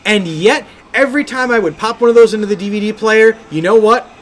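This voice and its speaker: High-pitched man, emphatic podcast talker. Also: fast talker. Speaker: podcast co-host. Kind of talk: casual film and game discussion.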